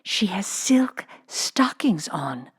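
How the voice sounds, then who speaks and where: A woman's voice: mid-range voice, sing-song, drawn-out words, breathy edge, pitched above the performer's own voice, heavily acted. A woman voicing a spiteful, gossipy schoolgirl of nearly thirteen in a children's storybook. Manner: gossipy, sniffy.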